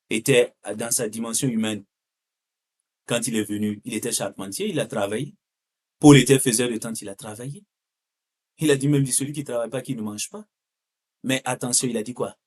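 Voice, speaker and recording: A man's voice: mid-range voice, normal speed, long pauses. A man preaching a sermon, exhorting his listeners directly, repeating phrases for emphasis and putting rhetorical questions to them. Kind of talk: impassioned sermon with Bible reading.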